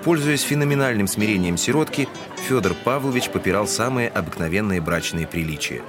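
A man's voice: lowish voice, lively intonation, a little fast, lightly acted. An actor playing a wry townsman who narrates a literary audio drama. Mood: wry, storytelling. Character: storytelling townsman, gossipy and wry. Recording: music behind the narration.

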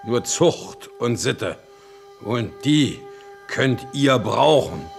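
A man's voice: medium pitch, very animated, drawn-out words, gravelly, exaggerated voice. An actor playing a blunt, coarse Prussian king in a 1970s radio play, with music behind. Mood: gruff. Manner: coarse, plain-spoken, mocking. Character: blunt gruff Prussian king, middle-aged man. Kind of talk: 1970s radio drama acting.

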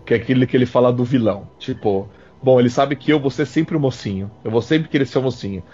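Brazilian dude, raspy mid voice, talks really fast, slangy and into it, slightly muffled audio. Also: male voice, mid-range voice, warm tone, soft background music. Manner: enthusiastic, slangy, repeats himself. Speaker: podcast panelist. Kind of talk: casual chat. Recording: slightly dull lo-fi sound.

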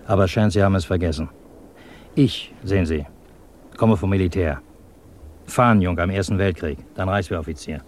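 An actor playing a sardonic, insistent German communist agent and former officer in a 1950s radio drama, speaking in a clipped, probing way. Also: male voice, sits low, fairly quick, pauses often, darkish tone, natural voice. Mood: earnest, insistent. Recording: 1950s radio recording, faint noise.

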